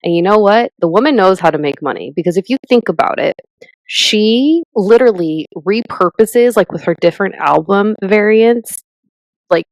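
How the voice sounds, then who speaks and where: A woman in her thirties co-hosting a podcast in casual chat, animated and chatty, with lots of "like" and "literally". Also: mid-range voice, moderate pace, vocal fry, no echo, poor audio. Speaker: podcast co-host.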